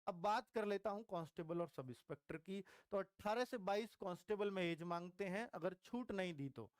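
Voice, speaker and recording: High-pitched man, coaching students. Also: moderate pace, no echo. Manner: conversational, repeats key points for emphasis. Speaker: exam coach teaching online. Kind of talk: informal explanatory lecture to students.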